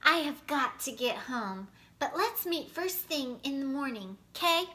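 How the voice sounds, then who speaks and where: A woman's voice: high-pitched, animated, unhurried, pushed and bright, exaggerated voice. A woman voicing an eager, chirpy young girl in a children's storybook.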